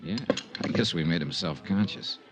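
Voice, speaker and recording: An actor playing a wry, cocky insurance investigator in a radio drama, wisecracking and unflappable in 1950s hard-boiled style. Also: male voice, sits low, normal speed, lightly acted. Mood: casual, flirtatious. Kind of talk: 1950s radio acting, hard-boiled first-person narration. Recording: dull old radio recording.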